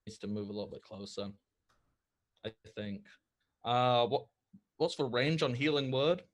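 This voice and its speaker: A man running a tabletop game as game master, narrating the action in a lively way. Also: medium pitch, a little slow.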